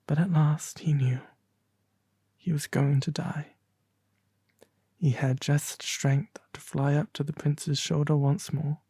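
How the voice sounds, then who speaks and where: A man playing a melancholy, genteel owl demon prince, reading a storybook aloud in a soft, refined, breathy ASMR voice. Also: on the high side, level tone, slow pace, pauses often, no room sound, studio quality, heavily acted. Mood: gentle, sombre. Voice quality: breathy, soft, often half-whispered. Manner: refined, gentle, formal diction.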